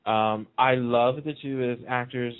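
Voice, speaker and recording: A man's voice: medium pitch, flat delivery, a little slow, clear, resonant tone. A man chatting casually and emphatically, giving his opinions as a participant on a radio show. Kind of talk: casual chat about TV soap storylines. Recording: muffled narrow phone-like line.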